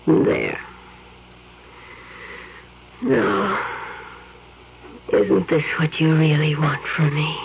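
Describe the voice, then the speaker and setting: A slow, teasing femme fatale. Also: female voice, deep voice, gravelly, heavily acted. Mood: seductive. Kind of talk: 1970s radio drama acting. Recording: dull vintage radio broadcast.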